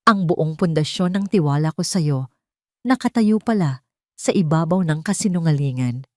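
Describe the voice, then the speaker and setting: A woman's voice: low-pitched, animated, normal speed, warm tone, dry recording, clean studio sound. A woman voicing a betrayed, hurt and angry woman in an audio drama, with a cold, broken, accusing manner. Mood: angry, shaken.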